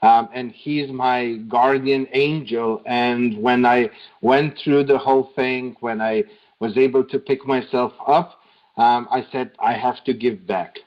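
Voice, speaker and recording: A man from Slovenia with a Slovenian accent answers casually as a guest, often repeating words as he explains. His voice is mid-pitched and comes through a dull, lo-fi online call. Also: steady tone, unhurried. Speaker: podcast guest.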